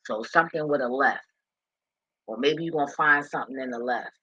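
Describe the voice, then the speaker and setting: A man with a husky voice talking casually and off the cuff, blunt and profane with streetwise slang, like an online spiritual commentator. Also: a little fast, pushed and bright. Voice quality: husky, deep voice. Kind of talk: casual, unscripted rambling talk. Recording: roomy, dull lo-fi home recording.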